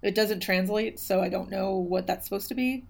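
A woman's voice: medium pitch, normal speed, creaky. A woman co-hosting a casual podcast about a book, thoughtful and hedging, with many ums and you knows. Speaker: podcast co-host discussing a book. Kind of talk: casual conversational chat.

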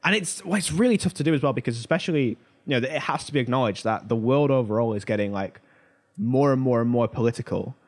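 A man with a medium-pitched voice co-hosts a casual pop culture discussion. He talks informally and offers his opinions in a conversational way. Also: animated, fast. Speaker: podcast co-host.

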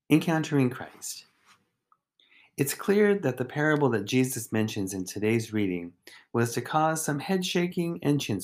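A man reading a scripted daily devotional as a deacon and host, phrasing it deliberately in short, measured units. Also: medium pitch, a little mellow. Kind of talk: scripted devotional reflection, read aloud.

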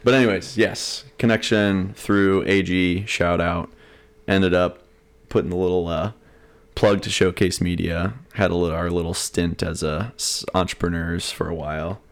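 Young man, very low voice, loose rambling storyteller, casual podcast chat. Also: fairly even intonation, normal speed. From Cincinnati area, USA. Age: young adult. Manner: rambling storyteller, restarts and laughs often. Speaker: podcast guest.

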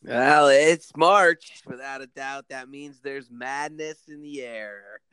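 Earnest male teacher co-host, rambling, commanding delivery, mid pitch, dry booth. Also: takes it slowly, a bit bright, smooth, dry recording. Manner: rambling, earnest, many restarts. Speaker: podcast co-host, school teacher. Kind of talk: casual podcast chat.

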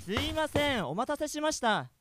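A man's voice: very high-pitched, very animated, moderate pace, heavy background noise. A man playing a polite, mild-mannered blind older brother in a comedic radio drama.

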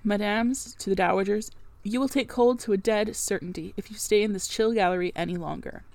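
A woman voicing a brusque, teasing, commanding middle-aged gentleman in an old-fashioned storybook. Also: medium pitch, a little fast, creaky, no room sound, lightly acted. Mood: composed, commanding. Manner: imperious, formal old-fashioned phrasing.

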